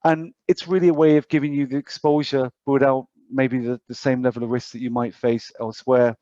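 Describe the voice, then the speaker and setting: A man's voice: medium pitch, flat delivery, fairly quick, warm tone, no room sound. A British man giving an informal financial advisor's presentation to clients over an online call, explaining in a hesitant way with hedged asides. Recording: dull, muffled online call audio.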